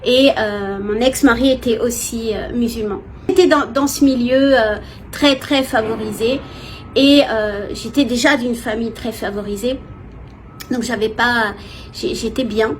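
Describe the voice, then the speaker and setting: A woman from France, giving a personal, expressive and hesitant testimony to viewers in casual talk. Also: on the high side. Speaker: vlogger. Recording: clear background noise, ambient sound.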